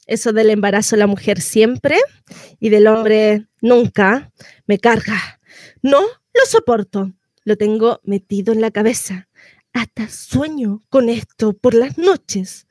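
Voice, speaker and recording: A woman's voice: a little high, lively intonation, slow, a little mellow, dry recording, clean studio sound. An actress with a Chilean accent playing a frantic, sardonic pregnant woman in an exclamatory, melodramatic theatrical monologue. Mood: wry, confiding. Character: frantic, sardonic pregnant woman going through labour and motherhood.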